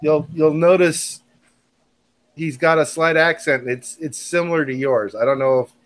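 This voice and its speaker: A man's voice: on the high side, steady tone, moderate pace. A man with a West Coast accent chatting casually on a group video call, rambling and repeating himself. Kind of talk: casual chat.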